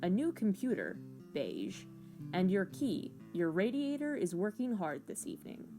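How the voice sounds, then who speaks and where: A woman narrates a hard-boiled detective spoof in the second person. Her delivery is wry, with lively pitch movement and light music behind her. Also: moderate pace.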